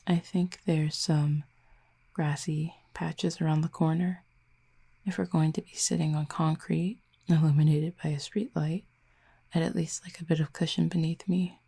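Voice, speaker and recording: A woman voicing a calm, curious cupid in an intimate audio roleplay, speaking softly and half-whispered with formal, measured, gently dry phrasing. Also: deep voice, exaggerated voice. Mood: soft, reflective. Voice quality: breathy, soft, half-whispered. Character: calm, curious otherworldly cupid who feeds on feelings.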